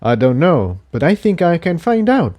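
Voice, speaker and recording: Guy doing a young boy pony, practical n protective, bit higher pitched, storybook adventure. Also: very animated, moderate pace, darkish tone. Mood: encouraging, careful.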